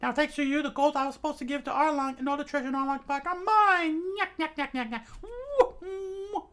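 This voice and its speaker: A man voicing a sneering, cowardly middle-aged navy captain with a squeaky cackling laugh. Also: a little fast, fairly bright tone. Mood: gloating.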